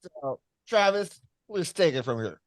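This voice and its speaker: A man's voice: a little high, expressive, unhurried, no echo. A non-native English-speaking man co-hosting a podcast, telling stories loosely with chuckles. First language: not English. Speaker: podcast co-host and producer. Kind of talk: casual podcast banter.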